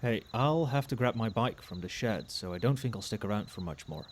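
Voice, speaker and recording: Male, acting as a friendly, conscientious professor a few drinks in; lowish soft voice, muttering, very varied intonation, for late-night ASMR roleplay. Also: lively intonation, brisk, slight background noise, natural voice. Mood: caring, insistent. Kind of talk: casual ASMR roleplay, addressing an unheard colleague.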